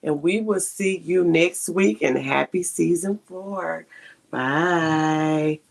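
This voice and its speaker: A woman's voice: sits low, takes it slowly, gravelly. A woman hosting an interview podcast in a conversational way. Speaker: podcast host.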